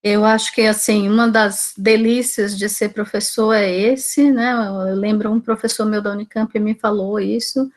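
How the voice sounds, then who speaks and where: A woman in her forties with a Brazilian accent speaks at a middle pitch. She talks informally, like a teacher sharing her experience. Her delivery is conversational, with frequent little tag questions to check in with listeners. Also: steady tone, moderate pace, few pauses. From Salvador, Bahia, Brazil. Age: 40s. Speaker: physics teacher giving a guest talk. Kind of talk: informal online talk about own career.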